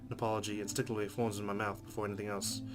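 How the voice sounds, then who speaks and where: A man with a fairly low voice reads story narration aloud as a let's play host. Guitar music plays clearly behind him as he reads from a visual novel. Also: level tone, rapid-fire.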